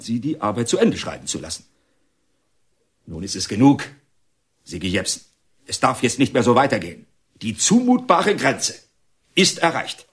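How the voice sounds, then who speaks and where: A stern middle-aged director of a reformatory in a radio drama. His voice is husky and rises and falls widely as he speaks in formal, deliberate, self-important phrases. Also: on the low side. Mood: solemn, emphatic. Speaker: actor. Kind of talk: German radio drama acting.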